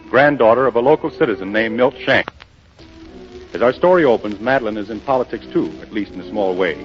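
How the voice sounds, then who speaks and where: A man with an American accent narrating as a 1930s radio announcer. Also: medium pitch. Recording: dull 1930s radio broadcast. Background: music.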